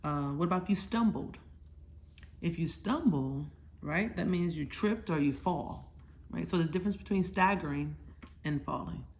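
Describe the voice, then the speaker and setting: A woman's voice: fairly low pitch, normal speed, a little mellow. A woman hosting a children's storytime, talking casually and teaching in simple words, with questions to check that listeners follow. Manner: simple, informal explanations for children. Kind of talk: casual children's lesson, conversational.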